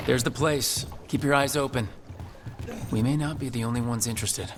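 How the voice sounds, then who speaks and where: An actor playing an alert, decisive adventurer who leads his companions in a video game, brisk and determined. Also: mid-range voice, noisy background, lightly acted. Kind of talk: dramatic video game acting.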